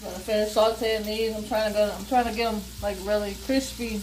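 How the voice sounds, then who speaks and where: Southern US woman, mid-pitched, casual home-cook delivery, light kitchen noise behind her. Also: steady tone, fast talker, darkish tone. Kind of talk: casual cooking demonstration. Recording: noisy kitchen with sizzling food. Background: frying and stirring sounds.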